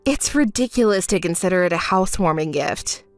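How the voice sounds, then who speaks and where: A woman voicing a calm, reproachful young ex-wife in a melodramatic story, in a cool, measured, dismissive manner. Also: on the low side, no room sound, soft background music, voice pushed down, close to an everyday voice.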